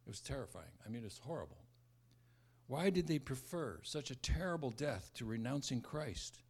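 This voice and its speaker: An American man in his seventies giving a sermon with scripture and personal stories, in a halting way with repeated words and restarts. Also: mid-range voice, very animated, gravelly, a little mellow, husky. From born in America, raised largely in Southeast Asia. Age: 70s. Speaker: preacher, former missionary.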